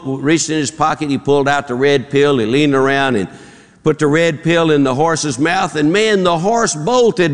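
Southern pastor guy cracking folksy jokes from the pulpit, drawly, voice a bit high, long echo of the auditorium. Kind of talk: sermon with folksy joke-telling. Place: church auditorium. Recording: long reverb in a large hall.

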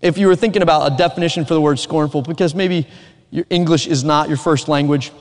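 A man's voice: fairly high pitch, fast talker. A man with a Southern American accent preaching a Sunday evening sermon, earnest and emphatic, with joking asides. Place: church sanctuary. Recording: reverberant church hall.

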